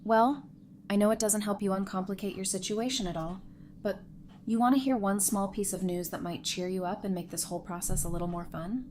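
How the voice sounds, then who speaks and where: A woman's voice: medium pitch, steady tone, brisk, darkish tone, slight background noise, lightly acted. A woman playing an upbeat, teasing expectant mother and loyal best friend in an audio drama. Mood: teasing, excited.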